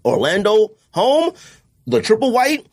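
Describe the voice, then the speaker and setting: A man hosting a casual podcast, animated, opinionated and full of slang. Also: high-pitched, measured pace, creaky. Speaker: podcast host. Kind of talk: casual chat.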